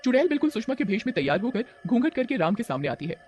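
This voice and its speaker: High-pitched, lively male horror storyteller. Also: racing, highs cut off, music in the background. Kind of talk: dramatized horror story narration.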